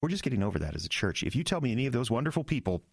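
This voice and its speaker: An actor playing an earnest small-town pastor in an audio drama, halting, agitated and incredulous. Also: male voice, mid-range voice, big pitch swings, gravelly, husky, no room sound, studio quality, heavily acted. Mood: accusing, upset.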